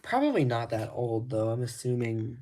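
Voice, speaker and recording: A man showing records from his collection in casual talk, laid-back and rambling, his voice slightly raspy, with frequent ums and restarts. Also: medium pitch, sing-song, moderate pace, warm tone, slight background noise.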